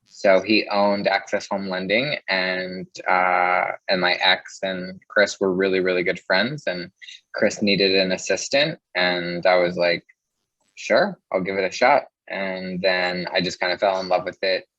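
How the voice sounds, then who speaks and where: Deep-voiced man chatting casually. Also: steady tone, unhurried, pushed and bright, no echo, highs cut off. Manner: chatty, peppers speech with "like". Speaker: interview guest, mortgage loan originator. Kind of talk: casual conversational storytelling.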